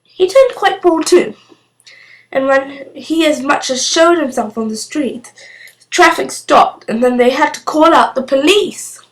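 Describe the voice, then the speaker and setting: A woman's voice: high voice, unhurried, low-quality recording. A woman voicing a cheeky, confident, eccentric young girl from a storybook, cheerful and matter-of-fact. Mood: boastful, cheerful.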